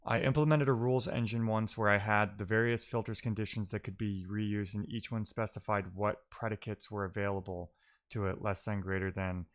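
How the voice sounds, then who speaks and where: Low-voiced man thinking aloud. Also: fairly even intonation, brisk, dry recording. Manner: musing, explanatory, poses questions to himself. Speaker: live-coding streamer. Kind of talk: casual technical talk, thinking aloud.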